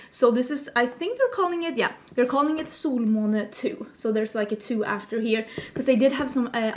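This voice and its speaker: A woman in her thirties from Sweden with a Swedish accent, chatting enthusiastically to camera in a makeup review and wandering into little asides. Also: on the high side. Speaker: beauty youtuber. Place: home filming room with plants, indoors.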